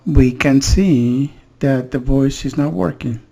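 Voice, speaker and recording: A man casually demonstrating software as a reviewer, his voice through a voice changer. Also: mid-range voice, takes it slowly, creaky, soft background music. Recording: background noise.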